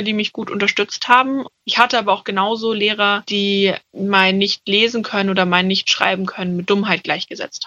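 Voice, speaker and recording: A woman in her twenties, a student, speaking calmly and thoughtfully about her own experiences in an interview. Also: mid-range voice, highs cut off. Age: 20s. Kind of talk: personal testimony.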